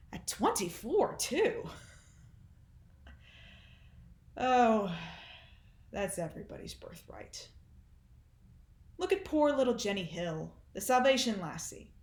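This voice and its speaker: A woman's voice: a little high, reverberant, voice pushed up. A woman playing a cynical, cruel arms-tycoon father in a stage monologue, with mocking, pompous, theatrical delivery. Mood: mocking amazement. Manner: sneering, pompous, theatrical oratory.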